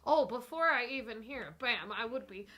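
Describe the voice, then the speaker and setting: A woman playing a tabletop game, chatting casually with animated, joking interjections. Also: fairly high pitch, moderate pace.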